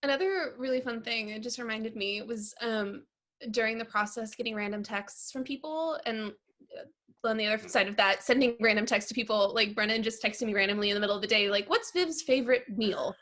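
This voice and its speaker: A woman's voice: on the high side, a little fast, fairly bright tone. A woman chatting in a breezy, informal, anecdotal way. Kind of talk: casual anecdotal chat.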